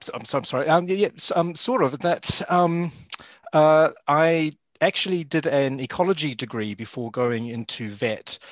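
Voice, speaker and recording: A man with a New Zealand accent, a zoo vet talking in a relaxed interview, with many ums and uhs. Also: a little high, moderate pace, no room sound. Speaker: guest, zoo veterinarian and lecturer. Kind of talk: casual interview talk.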